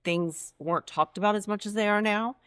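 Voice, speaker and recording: A woman's voice: medium pitch, brisk, a bit bright, clean tone, dry recording, clean studio sound. A woman in her fifties, a nonprofit founder, chatting loosely in an interview, rambling and laughing at herself. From Florida, United States. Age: 50s. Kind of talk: casual interview chat.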